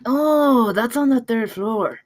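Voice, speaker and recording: A woman's voice: fairly high pitch, takes it slowly, darkish tone. A woman at a table read playing a chatty eighteen-year-old counter worker in a donut shop. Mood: chatty, breezy. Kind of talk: scripted film table read.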